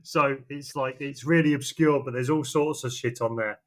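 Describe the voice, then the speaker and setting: A man's voice: mid-range voice, fairly even intonation, moderate pace. Rambling man in casual chat. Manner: rambling, informal. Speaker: podcast co-host.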